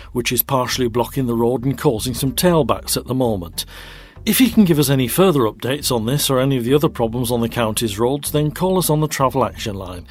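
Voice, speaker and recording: An actor playing a tired, edgy local radio traffic presenter in an audio drama, plain-spoken and colloquial. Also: male voice, mid-range voice, darkish tone, natural voice. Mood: brisk, official.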